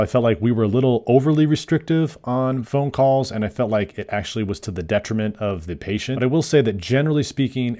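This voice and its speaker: A man's voice: on the low side, warm tone. A man working as a nurse, hosting an explanatory video in an informal, conversational way. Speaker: psychiatric nurse and video host. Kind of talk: explanatory talk to camera.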